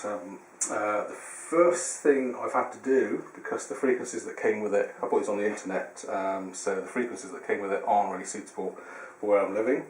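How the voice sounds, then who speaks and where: A man presenting a casual how-to demonstration, explaining step by step in a hesitant way. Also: on the low side. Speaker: tutorial presenter. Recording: roomy, distant mic, faint noise.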